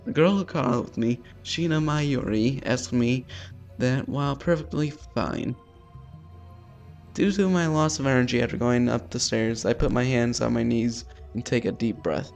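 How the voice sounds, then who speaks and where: Male gaming host, creaky mid-range voice, casual visual novel read-aloud, sweary and self-deprecating, music underneath. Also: medium pitch, unhurried, a little mellow. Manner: self-deprecating, sweary, stumbling over words.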